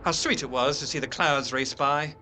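A man playing an earnest, haunted Victorian gentleman, narrating a horror drama in a measured, literary and expressive way. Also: a little high. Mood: grave. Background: music.

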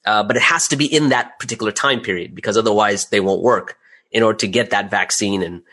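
A man's voice: lowish voice, quick pace, sharp tone, slightly rough. Candid man telling his own story. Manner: candid, self-deprecating, chuckles while talking. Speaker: interview guest, audio drama creator.

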